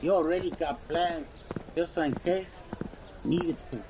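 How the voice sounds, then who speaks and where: A man's voice: on the high side, takes it slowly. A man playing a laid-back, confident comic-book fan in an audio drama. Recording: noisy track with clicks.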